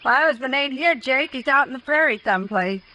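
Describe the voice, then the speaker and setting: An actress playing a brisk, plain American rancher's wife with curt, folksy speech, in 1950s radio western style. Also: female voice, sits high, expressive, pushed and bright. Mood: brisk, wary. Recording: noisy old 1950s radio recording.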